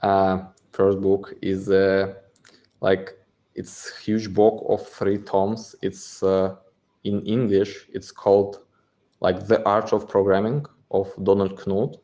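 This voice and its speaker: A man's voice: low voice, little pitch movement, slow, dark tone. A man, a guest answering interview questions, hesitating and searching for words with many fillers. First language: Russian. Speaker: guest, exchange co-founder.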